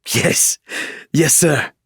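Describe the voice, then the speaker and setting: A man voicing an excitable, rattled male pilot with an eager manner in an audiobook. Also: very slow, dry recording, studio quality. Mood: excited. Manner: animated, eager. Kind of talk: audiobook character dialogue.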